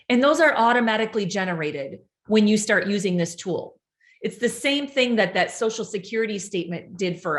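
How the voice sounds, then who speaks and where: Woman, seminar presenter, brisk, upbeat, explanatory, mid-pitched voice. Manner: brisk, upbeat, explanatory, reads out audience questions. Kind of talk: informational presentation.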